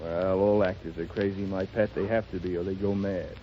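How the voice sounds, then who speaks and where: Muffled 1930s radio actor as a wisecracking young husband. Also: male voice, deep voice, fairly even intonation, brisk, noisy background, close to an everyday voice. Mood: wry, playful. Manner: bantering, stammers when evasive. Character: plucky, wisecracking, down-on-his-luck actor and devoted husband.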